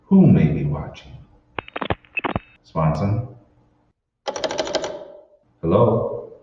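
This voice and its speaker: A man's voice: on the low side, big pitch swings, extremely slow, exaggerated voice. A man playing a troubled, suspicious husband in an old-time radio drama, convinced his world is fake. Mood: wary, insistent. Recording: echoey hall, distant mic, dull, faint noise.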